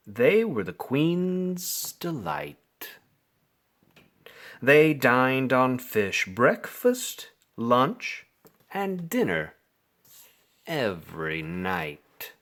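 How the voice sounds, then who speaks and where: A man reading a children's bedtime story aloud, with expressive, theatrical phrasing and drawn-out words. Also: mid-range voice. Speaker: storybook reader.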